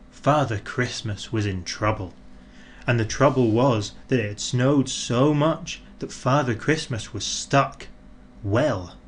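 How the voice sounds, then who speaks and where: A man narrating a children's storybook in an expressive, deliberate way, drawing out words for emphasis. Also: medium pitch, slight background noise. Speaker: audiobook narrator.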